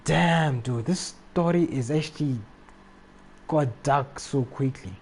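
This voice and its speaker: A man live streaming a video game, reading text aloud and commenting casually in a halting way. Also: fairly high pitch, darkish tone. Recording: faint background noise, game ambience.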